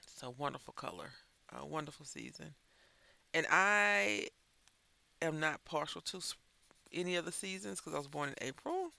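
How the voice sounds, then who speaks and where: A man's voice: fairly high pitch, very animated, moderate pace, vocal fry, pauses often, bright, forceful tone, no room sound. A man chatting while coloring as host of a crafting channel, rambling and thinking aloud, sounding stuffy and congested. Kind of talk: casual chat while coloring.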